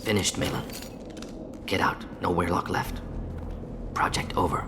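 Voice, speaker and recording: An actor voicing a brisk older male alien scientist in a video game, clinical yet burdened, speaking in clipped telegraphic sentences. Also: sits low, steady tone, noisy background. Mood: curt, firm. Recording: background music under the voice.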